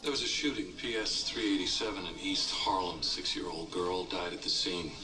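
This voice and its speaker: Casting: man with a very low, grave voice, clipped and terse, heard from a TV across the room. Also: fairly even intonation, moderate pace, over loud music. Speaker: television crime drama character. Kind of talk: TV drama dialogue. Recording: TV audio from far off.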